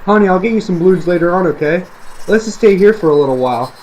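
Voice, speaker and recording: A man's voice: high voice, expressive, fast talker, darkish tone, pitched above the performer's own voice, low-quality recording. A man reading the part of a frustrated young father, muttering and exasperated. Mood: casual, weary. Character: frustrated young father on a ruined family vacation.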